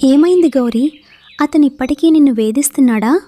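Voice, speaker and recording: A woman's voice: high-pitched, fairly quick, mellow, close to an everyday voice. A woman voicing an anxious, earnest young female bird in a cartoon story. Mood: concerned. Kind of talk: cartoon moral story dialogue.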